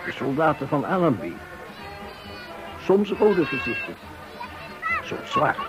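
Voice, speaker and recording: Bitter soldier, unhurried clipped declamation, radio poetry drama, music underneath. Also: male voice, fairly high pitch, wildly swooping pitch, exaggerated voice. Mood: bitter, intense. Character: bitter soldier reciting sun-scorched war memories.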